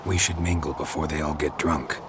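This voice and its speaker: An actor playing a stoic, dry-witted monster hunter who talks in a terse deadpan in a video game. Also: male voice, a bass voice, brisk, heavy background noise. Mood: deadpan, wry.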